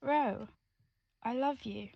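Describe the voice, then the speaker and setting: A woman's voice: fairly high pitch, slow pace, creaky, no room sound, lo-fi sound, full character voice. A British woman playing an anxious, secretive young lover in an intimate audio drama. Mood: pleading, upset.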